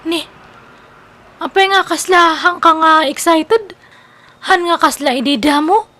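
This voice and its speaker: Woman playing whiny ilocano wife in a radio drama, really high voice, dramatic n complaining. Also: unhurried, sharp tone, heavily acted. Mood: coy, subdued. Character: discontented wife, whiny daughter leaving her sick husband.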